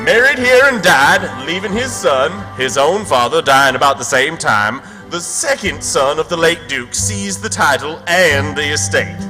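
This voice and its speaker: Melodramatic, high-voiced self-pitying swindler. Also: male voice, big-room sound. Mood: grandiose, wounded. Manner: melodramatic, theatrically mournful. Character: self-pitying con man posing as a wronged duke. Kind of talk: period radio theatre acting.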